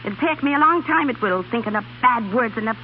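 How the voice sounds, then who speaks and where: A high, spirited voice of a young Irish woman, played by an actress, blunt and loyal. She speaks in 1930s radio drama style, on a muffled recording with clear noise. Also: lively intonation, a little fast, natural voice. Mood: indignant, scolding. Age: young adult.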